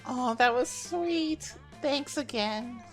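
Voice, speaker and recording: A woman voicing a wry, patient young monster girl with an expressive, slightly dry delivery in an amateur game dub. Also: high-pitched, slow pace, faint music behind. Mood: weary, sincere. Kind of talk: amateur visual novel dub.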